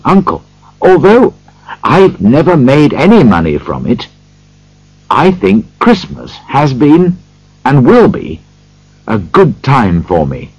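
A man's voice: mid-range voice, husky, no echo, lo-fi sound, voice pushed up, heavily acted. A man voicing a kind, cheerful young nephew in a storybook, hearty and earnest.

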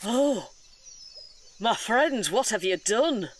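A male actor playing a calm, weary, gently chiding caretaker in an audio drama. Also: high voice, takes it slowly, full character voice. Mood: dismayed. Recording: audible outdoor nature noise.

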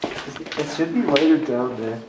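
A man's voice: a little high, unhurried. A man exploring alongside the host, making short offhand remarks from away from the camera. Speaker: exploring companion. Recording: distant, roomy, noisy.

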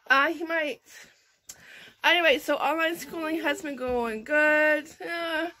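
A woman from Canada talks casually over morning coffee at home. Her voice sits a little high. She rambles through her thoughts with plenty of fillers and soft laughter. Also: steady tone, takes it slowly. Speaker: vlogger.

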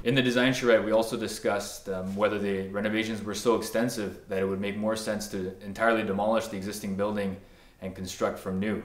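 A man explaining decisions from a house renovation design meeting in a measured, explanatory way. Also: fairly low pitch, flat delivery, far from the mic, slight background noise. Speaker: builder with the renovation company. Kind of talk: on-camera explanation.

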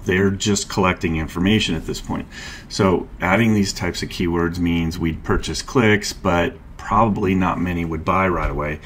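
A middle-aged man narrating a screen-share tutorial, calmly explaining each step and click as a marketing instructor. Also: low voice, a little hiss or hum. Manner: calm, explanatory, walks through clicks step by step.

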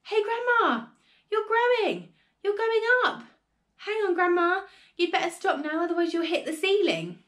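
Lady doing an urgent little boy for a kids story, high pitched, alarmed, really animated. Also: moderate pace. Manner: urgent, exclamatory. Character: excitable, alarmed young boy, pleading with grandma.

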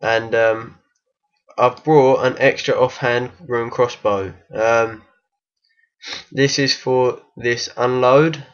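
Croaky guy explaining game gear, monotone, lots of um, lo-fi mic. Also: medium pitch, slow. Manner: hesitant, many ums and restarts. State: croaky from illness. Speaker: game guide maker. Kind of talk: casual gameplay tutorial voice-over.

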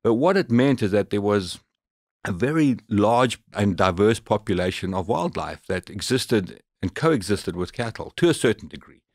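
A middle-aged man with a deep voice and a Zimbabwean accent talks as a podcast guest. He tells his story conversationally, in a very dry recording. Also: expressive, moderate pace, a little mellow, no echo. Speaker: podcast guest, professional hunter.

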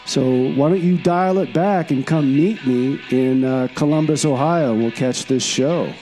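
Mellow, hopeful musician played by a man. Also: on the high side, moderate pace, soft background music, close to an everyday voice. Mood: hopeful, casual. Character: hopeful, laid-back male time-traveling would-be rock musician. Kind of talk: comedy radio call-in message.

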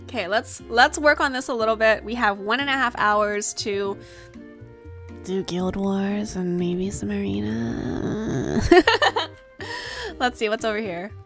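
A woman's voice: mid-range voice, expressive, takes it slowly, fairly bright tone. Giggly young woman streamer, music behind. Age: young adult. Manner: giggly, playful, rambling. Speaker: livestreamer. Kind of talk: casual livestream chat while gaming. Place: small room at home, indoors. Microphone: desk microphone with headset.

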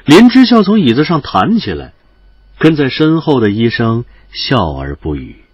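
Animated male audio drama narrator. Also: medium pitch, normal speed, husky, no room sound, highs cut off.

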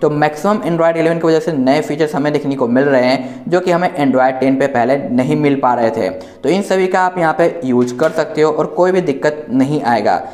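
Indian guy doing a tech review, slightly high voice, explains step by step, roomy echo. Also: normal speed, hardly any pauses, a little mellow. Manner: explanatory, addresses viewers directly. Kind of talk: informal smartphone software walkthrough. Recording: echoey, roomy sound.

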